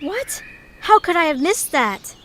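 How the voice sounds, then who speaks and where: A woman's voice: very high-pitched, sing-song, a little slow, pushed and bright, a little hiss or hum, exaggerated voice. A woman playing a bossy, self-assured young female leader in an audio drama, commanding and boastful. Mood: flustered.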